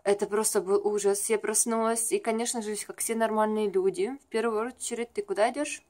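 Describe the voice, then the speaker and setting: A young woman with a medium-pitched voice chats to her camera at home. She speaks casually and confidingly, wandering through her stories as in a homemade vlog. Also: fairly even intonation, brisk, a little mellow. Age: young adult. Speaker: vlogger, makeup artist. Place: small furnished room at home.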